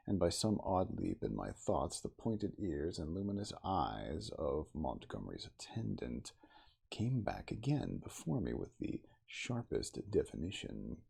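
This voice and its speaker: A man giving a theatrical, deliberate ASMR storybook reading as the narrator of a classic novel. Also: sits low, gravelly, darkish tone, breathy edge.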